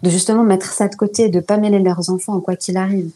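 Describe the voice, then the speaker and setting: A woman in a casual panel discussion, carefully explaining her opinion in a measured way. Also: medium pitch, fairly even intonation, warm tone. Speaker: panel guest. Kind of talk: casual debate.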